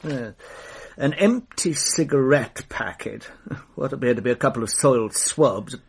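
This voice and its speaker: An actor playing a stiff, measured police superintendent in a radio drama. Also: male voice, mid-range voice, vocal fry, a little hiss or hum, lightly acted. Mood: dry. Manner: dry, measured, hesitant.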